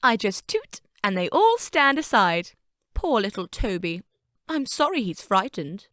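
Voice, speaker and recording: A woman's voice: mid-range voice, big pitch swings, measured pace, fairly bright tone, dry recording, studio quality. A woman voicing a vain, haughty, easily flustered female railcar engine in a theatrical children's story. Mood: smug, boastful. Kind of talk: character voice for a children's story fandub.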